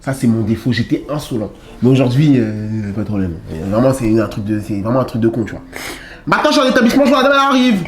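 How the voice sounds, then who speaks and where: A young man casually telling a story to his sister, animated and full of slang, acting out the scenes. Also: mid-range voice, fast.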